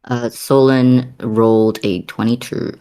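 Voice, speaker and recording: A man's voice: medium pitch, flat delivery, slow pace, dark tone, clear, resonant tone. A man playing a tabletop game, trading casual banter with the group.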